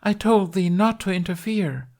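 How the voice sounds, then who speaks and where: A man's voice: high voice, measured pace, darkish tone, pitched above the performer's own voice. A man voicing a theatrical fairy woman who plays a gallant, bombastic knight in archaic stage speech for a story. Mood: dramatic lament.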